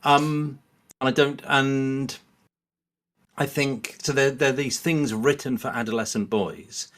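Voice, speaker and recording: A man's voice: medium pitch, steady tone, takes it slowly, a bit bright. A man speaking as a podcast guest in a casual, analytical discussion, often rephrasing himself as he thinks aloud.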